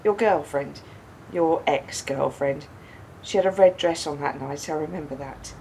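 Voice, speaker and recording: An actress in a radio play playing a bereaved, wounded woman who questions pointedly with controlled bitterness. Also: unhurried. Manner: probing, pointed, echoing words back. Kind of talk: naturalistic radio play dialogue. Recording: steady background noise, low rumble.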